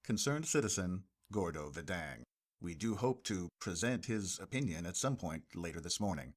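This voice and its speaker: Man playing an animated, polished radio news anchor. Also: deep voice, moderate pace, slight background noise. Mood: polished, earnest. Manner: polished, formal announcer delivery. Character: male radio news anchor, earnest and professional. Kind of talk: radio news and weather reading.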